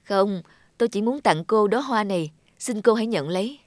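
A woman voicing a humble, earnest former beggar man in a fairy-tale cartoon, speaking in a measured, polite way. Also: mid-range voice, lively intonation, darkish tone, lightly acted. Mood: earnest, grateful.

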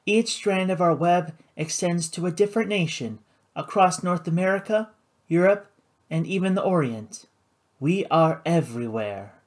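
A man's voice: high-pitched, expressive, pitched above the performer's own voice. A man voicing a poised, icy woman casino boss. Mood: cool, formal. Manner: poised, measured, formal.

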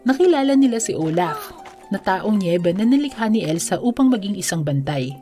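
A woman narrates a children's fairy tale with a mellow, soft-edged tone. Her pitch sits in the middle, and music plays behind her. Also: lively intonation, moderate pace. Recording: music under the voice. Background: background music.

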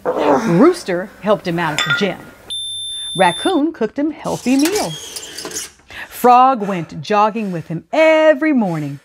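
A middle-aged woman hosting storytime, reading aloud to children in an expressive, deliberate, teacherly way. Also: mid-range voice, noisy background. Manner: animated, deliberate teacherly delivery. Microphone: lapel mic.

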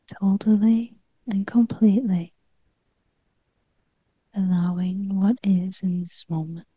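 A woman leading a guided meditation in a gentle near-whisper, with short phrases and long pauses. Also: medium pitch, steady tone, husky, no echo, highs cut off.

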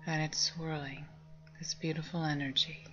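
Female meditation guide, low soft breathy voice, calming near-whisper, slightly muffled lo-fi recording. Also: normal speed. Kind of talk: guided meditation, slow spoken instructions. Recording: dull lo-fi sound under music. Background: meditation music with singing bowls.

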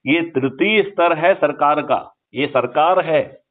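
A man's voice: a little high, slow. An older man talking persuasively about politics on a phone call, emphatic and lecturing, often asking rhetorical questions for agreement. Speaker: activist, retired police officer. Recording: muffled narrow-band phone call recording.

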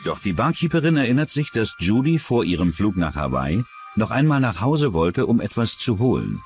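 A man's voice: fairly low pitch, big pitch swings, moderate pace, a little mellow, soft background music. A man reading scripted true-crime documentary narration as the narrator.

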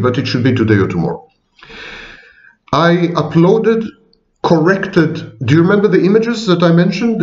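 Wry older man lecturing, lively pitch. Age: 60s. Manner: wry, digressive, repeats and corrects himself. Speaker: psychology professor. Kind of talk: informal lecture to viewers. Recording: echoey room, dull lo-fi sound.

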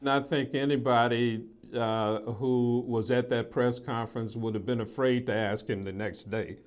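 A man with a mid-range voice looks back on events in an interview. He talks in a halting way, doubling back on his words. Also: mid-range voice, steady tone. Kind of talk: interview reminiscence.

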